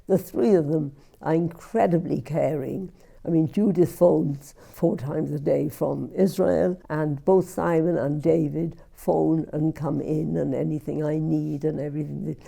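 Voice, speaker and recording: An older woman with a Welsh accent, recalling her family in an interview, often halting and repeating words as she speaks. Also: sits low, gravelly, mellow. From Wales. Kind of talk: reminiscing interview.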